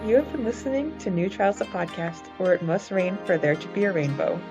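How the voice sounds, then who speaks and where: Woman, podcast co-host, lower voice, hesitant casual delivery, slightly lo-fi recording, soft music underneath. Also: expressive, a little fast. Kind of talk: casual fan podcast chat. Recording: slightly dull audio, faint music behind.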